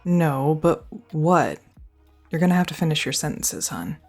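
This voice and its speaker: A woman's voice: deep voice, animated, a little slow, a little mellow, soft background music. A young woman performing a scripted girlfriend roleplay, speaking close to the mic in an affectionate, teasing and reassuring way.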